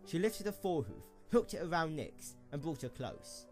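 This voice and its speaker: A man narrating a scripted story as an expressive storyteller for an audio drama. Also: a little high, normal speed, soft background music.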